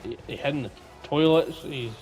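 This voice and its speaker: A man's voice: a little high, normal speed, darkish tone, poor audio. Man chatting, music behind. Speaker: podcast co-host. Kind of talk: casual podcast chat.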